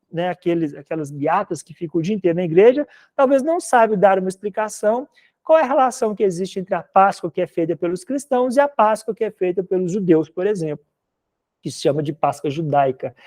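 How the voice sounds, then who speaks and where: Male teacher from Minas Gerais, mineiro accent, mellow tone, rambling casual lecture style. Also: sits high, expressive, fairly quick. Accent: Minas Gerais Portuguese. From Minas Gerais, Brazil. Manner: rambling, conversational, frequent "né?" tags. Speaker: philosophy teacher. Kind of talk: recorded online class lecture.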